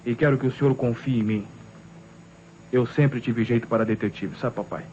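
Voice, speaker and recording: Young Brazilian amateur sleuth played by a man, loyal, insistent, dark-toned voice, muffled vintage radio detective serial. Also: medium pitch, moderate pace, close to an everyday voice. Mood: determined. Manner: earnest, insistent, declamatory. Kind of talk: old Brazilian radio detective drama acting. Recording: muffled, noisy old radio recording.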